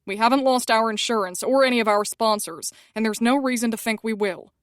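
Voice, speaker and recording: A woman's voice: on the high side, fairly quick, no room sound, clean studio sound, lightly acted. A woman voicing a firm, protective male band member with a curt, clipped delivery.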